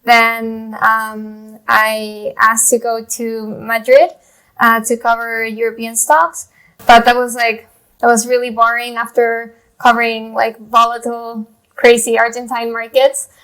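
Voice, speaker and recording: A woman's voice: on the high side, flat delivery, measured pace, a bit bright, rough recording. A woman in her thirties from Chile, a journalist guest telling her story in a rambling way, full of fillers and restarts. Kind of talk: casual interview talk.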